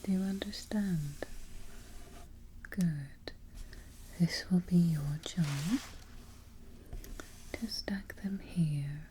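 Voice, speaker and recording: A woman playing a fussy, quietly menacing evil queen in a soft, whispered ASMR roleplay, musing with deliberate pauses. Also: on the low side, a little hiss or hum. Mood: calm, particular.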